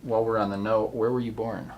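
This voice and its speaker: A man's voice: lowish voice, flat delivery, fast, lo-fi sound, slight background noise. A man conducting a casual interview, asking curious short questions with frequent ums.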